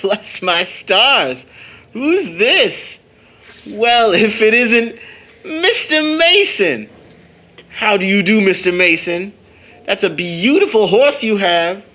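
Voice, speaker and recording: High-voiced, bantering young brother. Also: male voice, animated, slow pace, pushed and bright, heavily acted. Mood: playful. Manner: playful, florid mock formality. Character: bantering, cheerful older brother, mock-grandiose young man. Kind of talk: audio drama acting.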